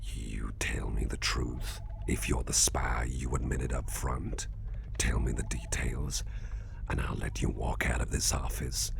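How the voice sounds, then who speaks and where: Man playing a very deep, gravelly whispering menacing boss. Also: flat delivery, heavy background noise, full character voice. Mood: coolly menacing, bargaining. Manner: slow, deliberate, probing, with musing hums. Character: suspicious, menacing male boss interrogating an employee, coolly courteous. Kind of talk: whispered ASMR roleplay.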